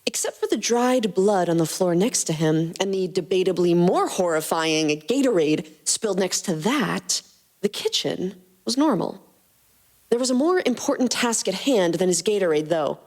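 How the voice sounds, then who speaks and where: A woman's voice: medium pitch, a little mellow. A woman co-hosting and narrating a fan fiction podcast in a scripted storytelling style.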